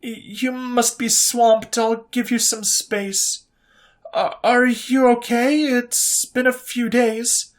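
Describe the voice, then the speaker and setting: A woman's voice: on the high side, steady tone, takes it slowly, voice pushed up. A storyteller voicing an anxious young woman officer who stammers and pleads, spiraling into self-blame. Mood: pleading.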